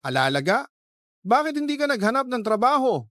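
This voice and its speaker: A man's voice: very high-pitched, big pitch swings, brisk, fairly bright tone, no room sound, studio quality, pitched above the performer's own voice, exaggerated voice. A man voicing a chatty, nosy young female friend in a radio drama, with a probing, exclaiming manner. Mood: probing concern.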